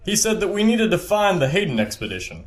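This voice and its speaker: An actor playing a dutiful army captain in an audio drama, polite and slightly unsure. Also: male voice, sits high, big pitch swings, fast talker. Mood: polite, puzzled.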